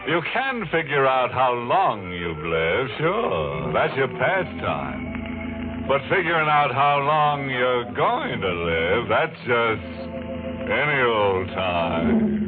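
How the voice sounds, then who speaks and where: Spooky sardonic horror show host, super slow drawl, swoopy delivery, old radio. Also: male voice, mid-range voice, big pitch swings. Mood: morbid glee. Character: ghoulish, gleefully morbid, punning horror show host. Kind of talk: 1940s radio horror hosting. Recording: tinny, band-limited old radio, music behind.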